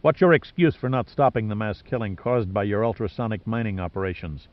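A man's voice: fairly low pitch, brisk, no echo, highs cut off, lightly acted. A man voicing a principled, defiant male hero in an audiobook, blunt and accusing. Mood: cold accusation. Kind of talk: audiobook character dialogue.

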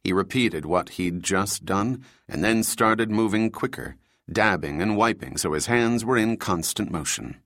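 Low-voiced male thriller narrator. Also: animated, a little slow, a bit bright, dry recording, clean studio sound. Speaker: audiobook narrator.

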